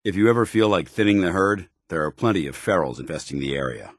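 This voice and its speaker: Stern game soldier, very low pitch, smooth dry sound, dutiful, formal, clipped military delivery. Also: male voice, fast talker, no echo. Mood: resolute, businesslike. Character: stern, dutiful soldier in power armor, paladin of a military order. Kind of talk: video game companion dialogue acting.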